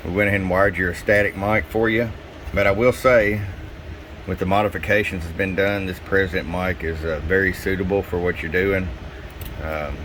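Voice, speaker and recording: A man giving a casual, folksy, unscripted product demonstration as a radio technician, talking directly to a customer. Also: sits low. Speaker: radio technician presenting tune-up reports. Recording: clear steady background hum and noise.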